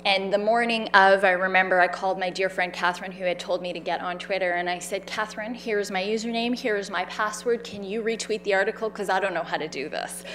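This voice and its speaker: A woman tells her own story at an event, in an animated, conversational way. She has a loud voice and acts out the people in her story. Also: mid-range voice, fairly quick, few pauses, slight background noise. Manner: animated, conversational, with fillers and quoted dialogue. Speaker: speaker sharing her story. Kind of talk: personal storytelling talk. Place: event stage.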